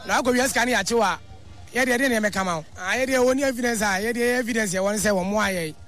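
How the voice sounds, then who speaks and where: A man's voice: sits high, racing, fairly bright tone, faint music behind. A man pleading his case in a street interview.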